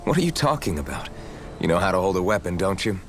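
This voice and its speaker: A man playing a steady, supportive young swordsman in a video game, plain-spoken and reassuring. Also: deep voice, quick pace. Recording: music in background. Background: background music.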